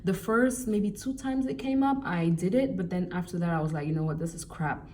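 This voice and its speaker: A young woman from Cameroon giving casual, animated job-hunting advice in a vlog, with exasperated asides. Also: fairly low pitch, fairly quick, recorded from a distance, slight background noise. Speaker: vlogger.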